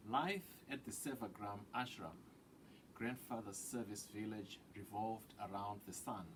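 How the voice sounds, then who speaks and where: Slow-paced man reading a storybook aloud. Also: fairly low pitch, background noise. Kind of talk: children's picture book read-aloud.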